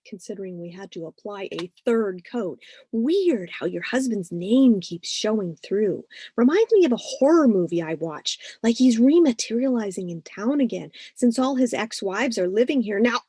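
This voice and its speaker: A woman's voice: medium pitch, lively intonation, moderate pace, warm tone, husky, no room sound. A woman voicing a nerdy fifteen-year-old boy with a literal, lecturing manner, in a story reading. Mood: matter-of-fact. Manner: matter-of-fact, lecturing. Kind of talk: audiobook-style character reading.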